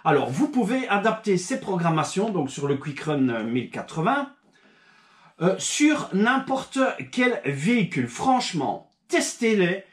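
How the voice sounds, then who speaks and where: A man's voice: sits high, big pitch swings, measured pace. Male channel host, a bit stuffed up from a cold, chatty with lots of hesitations, recorded in a reverberant room. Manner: rambling, many fillers and restarts. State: recovering from a cold. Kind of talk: casual video talk to subscribers. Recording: echoey room.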